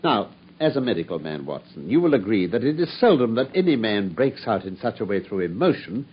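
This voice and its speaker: An actor playing a coolly brilliant detective, explaining his deductions in a precise, measured way. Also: lowish voice, sing-song, slight background noise. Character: coolly brilliant, self-assured male detective. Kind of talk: 1950s radio drama acting. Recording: dull lo-fi old radio broadcast.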